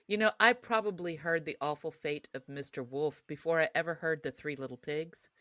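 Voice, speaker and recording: A woman with a distinctly low voice co-hosts a podcast. She talks in a casual, reflective way, as in a relaxed discussion. The sound is dry and close. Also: moderate pace, dry recording. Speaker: podcast co-host.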